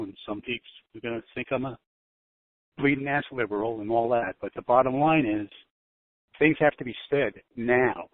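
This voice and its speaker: A man's voice: medium pitch, dry recording. A man speaking candidly and informally to a group on a conference call, repeating words and leaning on some with emphasis. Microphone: telephone. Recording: narrowband telephone conference line.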